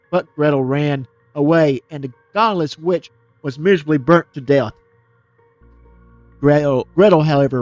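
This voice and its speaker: Male storyteller reading a fairy tale, expressive, with a few slips; a fairly high voice on a close, dry mic, dull lo-fi sound, faint music underneath. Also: no room sound. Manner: expressive, with small slips and restarts.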